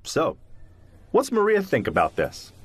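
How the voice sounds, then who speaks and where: An actor playing a sympathetic, cautious zoologist friend in an audio drama, conversational and probing. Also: male voice, a little high, wildly swooping pitch, a little slow, slightly rough, dry recording, faint music behind, full character voice. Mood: curious, friendly.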